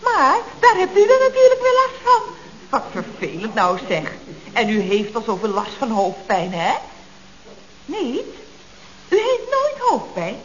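An actress playing a chatty, mock-innocent office secretary in a Dutch radio comedy, with a chirpy, expressive delivery. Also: female voice, sits high, measured pace, full character voice. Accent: Dutch from the Netherlands. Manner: chirpy, expressive, chatty with frequent tag questions. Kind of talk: 1970s radio comedy acting. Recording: dull, noisy old radio recording.

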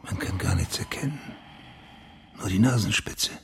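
An actor playing a sceptical, probing senior police official in a radio crime drama, with a dry, guarded manner. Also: male voice, sits low, expressive, normal speed, vocal fry, fairly bright tone, a little hiss or hum, heavily acted. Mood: uneasy, questioning.

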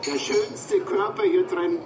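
An actress playing a bawdy carnival comedian telling jokes, heard through a television in a radio drama. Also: female voice, fairly low pitch, huge pitch swings, slow, music in the background, exaggerated voice. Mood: bawdy, performing. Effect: television playback. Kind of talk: carnival joke routine. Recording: echoey, noisy television sound in a room.

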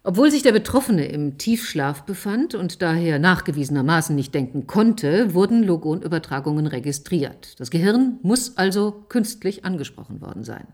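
Low-voiced, assertive woman scientist. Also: sing-song, moderate pace, hardly any pauses, a little mellow. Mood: firm, explanatory. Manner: precise, formal, insistent. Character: assertive, methodical female scientist presenting her theory. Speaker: actor in a radio play. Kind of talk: German radio drama acting.

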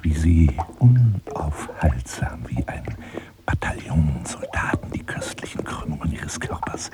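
An actor playing an obsessive, grandiose telephone seducer in a radio drama, husky and breathy, florid and formal, wounded and menacing. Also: male voice, very deep voice, very animated, measured pace, loud music behind the voice, exaggerated voice. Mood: menacing, seductive. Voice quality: husky, breathy, often dropping to a whisper. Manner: theatrical, florid, formal address, swinging from pleading to scornful.

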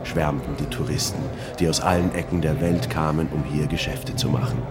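An actor playing a reflective writer who narrates dramatically in a literary radio play. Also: male voice, rumbling low, little pitch movement, a little fast, over loud music. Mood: declaiming.

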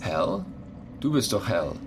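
Skeptical man, casual radio-play dialogue, lively intonation, heavy ambient noise. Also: low-pitched, slow pace, exaggerated voice. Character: skeptical man questioning his computer.

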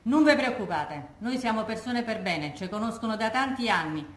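Echoey actress as Roman widow recalling wartime. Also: female voice, a little high, animated, moderate pace, close to an everyday voice. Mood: anxious recollection. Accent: Roman Italian. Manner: colloquial, vivid, re-enacting remembered dialogue. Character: agitated working-class Roman widow recalling wartime tragedy. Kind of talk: theatrical monologue as oral testimony. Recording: echoey room.

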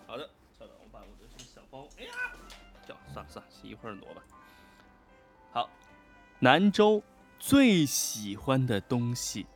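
A man co-hosting a fan quiz livestream, chatting casually and echoing short words in quick bursts as he reacts to answers. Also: mid-range voice, very animated, soft background music. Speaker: co-host of a fan quiz livestream for an audio drama.

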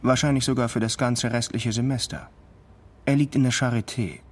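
An actor playing a matter-of-fact university colleague in a radio drama, factual and unhurried. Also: male voice, mid-range voice, fairly even intonation, natural voice.

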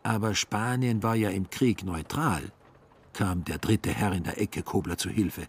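Low-voiced male radio play narrator. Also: measured pace, husky.

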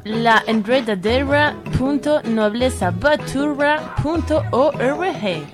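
A woman announces a scripted radio promo in a fairly high voice. She speaks very slowly, with loud instrumental music behind her. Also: animated. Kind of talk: radio station jingle announcement.

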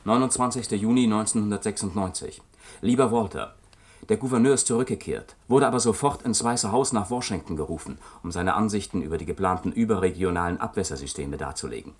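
An actor in a German radio play playing an indignant, dogged defense lawyer, articulate, earnest and formal. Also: male voice, lowish voice, fast talker, breathy edge. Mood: earnest, urgent.

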